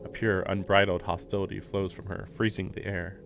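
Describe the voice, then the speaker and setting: A man's voice: deep voice, level tone, brisk, vocal fry, noisy background. A man streaming a visual novel, reading the text aloud and adding casual commentary. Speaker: game streamer. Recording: game music behind the voice.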